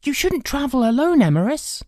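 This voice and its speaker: A performer as an earnest, high-pitched young boy. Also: very animated, normal speed, a little mellow, no echo, clean studio sound. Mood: earnest, concerned. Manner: earnest, polite. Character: earnest, kind-hearted young boy, storybook hero. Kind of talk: audiobook character acting.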